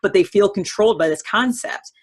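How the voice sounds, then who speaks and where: A woman with a husky voice speaking as the host of a conversational one-on-one discussion. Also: medium pitch, brisk. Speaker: host of a personality-type dialogue series. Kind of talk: conversational interview.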